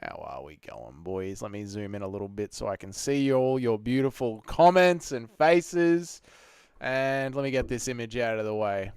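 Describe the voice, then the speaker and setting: A young Australian man hosting a live stream, chatting with viewers in excitable, slangy, sweary banter. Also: medium pitch, moderate pace, clean tone, no room sound. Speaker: streamer host.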